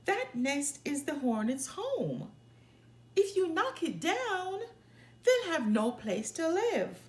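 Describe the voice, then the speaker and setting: A woman's voice: high voice, big pitch swings, slow pace, darkish tone, pitched above the performer's own voice, exaggerated voice. A woman voicing a small, earnest, outspoken girl bear cub in a children's storybook. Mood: protesting.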